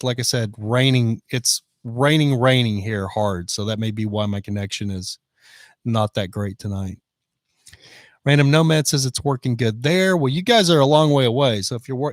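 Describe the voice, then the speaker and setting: A man in his fifties talks easily through a live stream, reading out viewer comments as they come in. The sound is close and dry, with a middle-of-the-road pitch. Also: no echo. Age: 50s. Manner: chatty, reads out viewer comments. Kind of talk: casual live-stream chat. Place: van in the rain.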